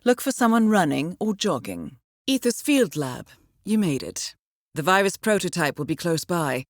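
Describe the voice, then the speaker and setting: Casting: game intelligence handler, female, composed and understated, slightly low pitch, precise formal briefings, very dry recording. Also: very animated, no echo. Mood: cool, efficient. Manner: measured, formal, coolly understated. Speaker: mission handler. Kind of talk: scripted video game voice acting, mission briefing.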